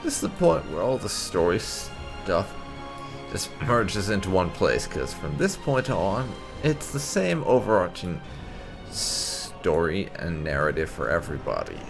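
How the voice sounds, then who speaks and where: A man narrating a video game playthrough for his channel in a relaxed, explanatory way. He has a low, gravelly voice with a lively rise and fall, and soft music plays underneath. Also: a little slow. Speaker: gaming channel host. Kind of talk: casual game commentary.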